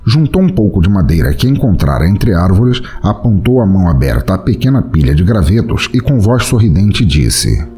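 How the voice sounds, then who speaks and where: A man giving a theatrical, scripted narration of a dark fantasy tale as a storyteller. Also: sits low, very animated, moderate pace, few pauses. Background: music.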